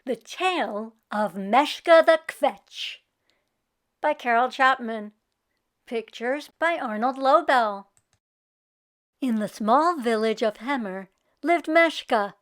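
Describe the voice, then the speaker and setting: A woman storyteller reads aloud for children in a high, very lively voice. She takes her time, leaning on key words with dramatic emphasis. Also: slow. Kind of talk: expressive children's storybook narration.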